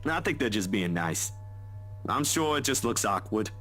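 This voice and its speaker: A man's voice: lowish voice, moderate pace, soft background music. An easygoing, folksy young man. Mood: playful. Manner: relaxed, folksy, teasing.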